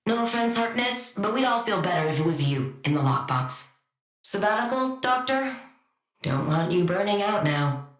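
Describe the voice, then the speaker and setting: A woman playing a cold, sardonic scientist villain in a video game, speaking in a dry, clipped deadpan. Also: medium pitch, moderate pace, roomy sound, natural voice. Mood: bitterly sarcastic. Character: cold, sardonic female genius scientist villain devoted to her wife.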